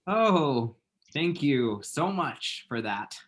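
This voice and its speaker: A man's voice: a little high, big pitch swings, extremely slow, fairly bright tone, heavily acted. A man playing a flustered, lovestruck and bitchy young man, sassy and theatrical, in a screenplay table read. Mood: flustered, nervous.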